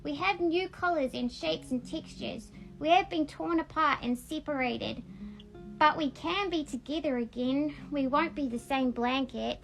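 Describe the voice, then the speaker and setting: A woman's voice: high-pitched, unhurried, creaky, faint music behind, rough recording. A woman voicing a hopeful piece of blanket that encourages others in an earnest storybook manner. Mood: hopeful, encouraging.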